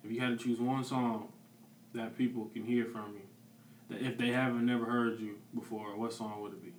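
A man's voice: mid-range voice, level tone, moderate pace, recorded from a distance. A man hosting a casual podcast, throwing out slangy, joking questions. His voice sounds set back from the microphone and bounces around a roomy space. A faint hum of noise runs behind him. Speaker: podcast host. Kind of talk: casual interview chat. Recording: echoey room, voice off-mic, faint background noise.

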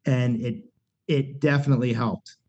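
A man's voice: medium pitch, steady tone, normal speed, a little mellow. Nasal man chatting candidly. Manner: candid, rambling, many fillers and restarts. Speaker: guest, punk band singer. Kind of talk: casual podcast conversation. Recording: dull lo-fi podcast recording.

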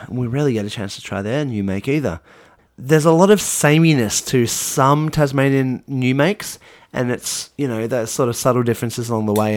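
A man's voice: medium pitch, no echo, studio quality. An Australian man co-hosting a podcast in casual chat, with a wry, jokey and opinionated manner. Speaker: podcast co-host.

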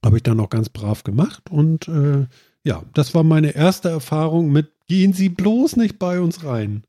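A man's voice: on the high side, expressive, a little slow, dark tone, dry recording, clean studio sound. A man who sounds nasal from a stuffed nose, hosting a casual chat and rambling with many fillers.

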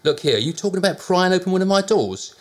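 A man's voice: sits high, lively intonation, voice pushed up. A man doing a bossy scolding landlady. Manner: scolding, brisk. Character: bossy middle-aged landlady, fussy and sharp.

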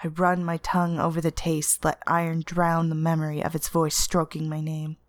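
Lowish, dry-sounding woman narrating fiction. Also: completely flat delivery, normal speed, no room sound. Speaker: story narrator. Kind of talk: dramatic literary first-person narration.

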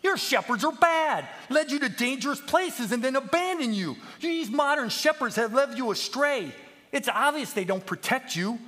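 A man voicing an earnest, emotional little lamb who pleads in a high, strained, preachy way, as in a children's story. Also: moderate pace, a bit bright. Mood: indignant pleading. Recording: long echoing hall reverb.

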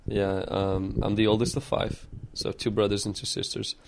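Lower-voiced man from Ukraine, earnest. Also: level tone, normal speed, creaky, a crowd in the background. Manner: earnest, reflective, with frequent restarts. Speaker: pastor and podcast guest. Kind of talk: opening prayer, then storytelling interview answers.

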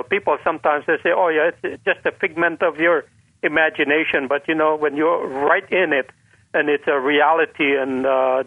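A man's voice: fairly high pitch, dry recording. A man in his seventies from the northern Philippines, a guest telling his life story in an earnest way and repeating words for emphasis. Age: 70s. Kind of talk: conversational testimony. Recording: tinny phone line.

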